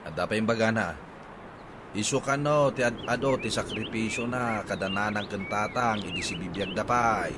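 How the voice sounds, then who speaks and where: A man's voice: on the low side, normal speed, lightly acted. Man acting a grumbling husband, noisy background. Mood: resigned. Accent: Ilocano. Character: put-upon husband reporting his siblings' inheritance demands. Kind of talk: Ilocano radio comedy drama acting. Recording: noisy outdoor ambience with birdsong.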